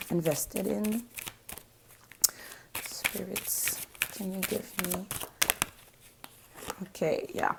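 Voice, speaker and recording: Soft, gravelly French-accented woman. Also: lowish voice, background noise. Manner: hesitant, searching for words with many fillers. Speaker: tarot reader. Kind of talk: spoken tarot reading.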